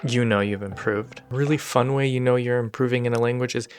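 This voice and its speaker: A young man presents a scripted explainer with casual asides and a self-deprecating touch. His pitch sits in the middle of the male range. Faint music plays behind his voice. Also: steady tone, fast. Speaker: language-learning video host.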